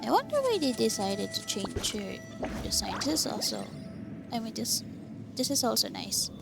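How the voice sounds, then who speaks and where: A woman's voice: on the high side, big pitch swings, normal speed, vocal fry. A woman giving casual, informal playthrough commentary as she plays a game, remarking mostly to herself. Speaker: gaming streamer. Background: game music.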